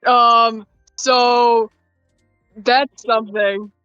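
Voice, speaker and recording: A guest on a call reacting in slow, halting, stunned bursts. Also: mid-range voice, level tone, bright, forceful tone, clean tone, no room sound, highs cut off, faint music behind. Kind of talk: casual chat.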